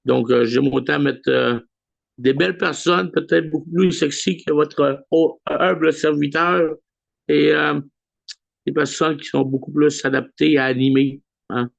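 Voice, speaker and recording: A man with a Québécois accent hosting a weekly video call in a relaxed way, with many pauses and filler sounds. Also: a little high, creaky, no room sound. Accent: Québécois French. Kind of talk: casual live address on a video call. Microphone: computer mic on a video call. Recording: dull lo-fi video call audio.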